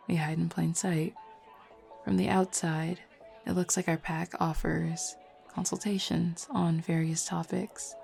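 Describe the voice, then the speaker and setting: A woman's voice: on the low side, creaky, warm tone. A woman playing a flirty, self-assured werewolf pack beta in a roleplay audio drama, talking casually and teasingly to the listener. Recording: lo-fi music bed behind voice.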